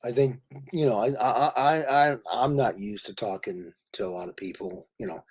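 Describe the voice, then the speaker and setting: Raspy-voiced man hosting a chatty livestream. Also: mid-range voice, normal speed. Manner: effusive, jokey, rambling. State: voice worn from talking a lot. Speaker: livestream host. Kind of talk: casual chat with live viewers.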